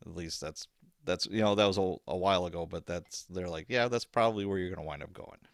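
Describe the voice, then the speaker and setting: A man's voice: deep voice, fast talker, dry recording, clean studio sound. A man hosting a podcast, asking questions in a casual, informal and loosely structured way. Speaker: podcast host, interviewer. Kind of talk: casual interview.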